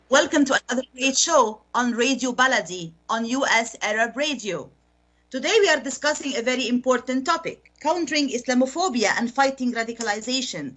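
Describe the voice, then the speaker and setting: A woman's voice: sits high, fairly bright tone, low-quality recording. A woman hosting a radio interview show, reading a polished prepared introduction and then asking her guest formal questions.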